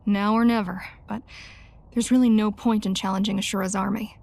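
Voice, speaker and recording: An actress playing a hard-nosed, battle-weary resistance leader with curt delivery in video game dialogue. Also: female voice, medium pitch, steady tone, fairly quick, gravelly, darkish tone. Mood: weary, resolute. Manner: curt, matter-of-fact.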